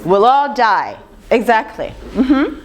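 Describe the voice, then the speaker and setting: An older woman with a slightly rough voice gives an informal lecture, talking conversationally and putting questions to her audience. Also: a little high, big pitch swings, slow, a little hiss or hum. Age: 50s or older. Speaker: speaker giving a lecture on raw food.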